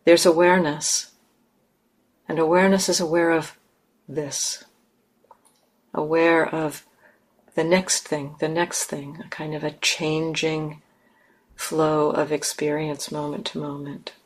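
A woman leading a guided meditation in measured, instructive phrases with long pauses. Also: sits low, flat delivery. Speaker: meditation teacher.

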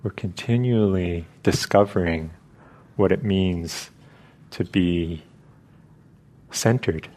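A man leading a guided meditation as a teacher, speaking in short, halting phrases with long pauses between them. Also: low voice, darkish tone, poor audio.

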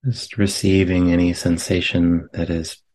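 Male meditation teacher, low register, very slow delivery, gentle phrasing with long silences between short lines. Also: level tone. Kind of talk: guided meditation. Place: meditation center.

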